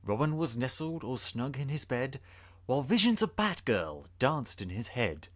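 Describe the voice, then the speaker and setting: A man's voice: mid-range voice, sing-song, normal speed. A man giving a theatrical, suspenseful dramatic reading of a rhyming story. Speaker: podcast host.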